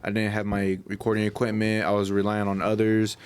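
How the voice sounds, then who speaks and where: A man speaks in a low, clear and resonant voice. He chats casually, circling back over his phrases as he goes. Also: completely flat delivery, a little fast. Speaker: podcast guest, battle rapper. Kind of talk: casual group chat. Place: small furnished room indoors. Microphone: podcast microphone.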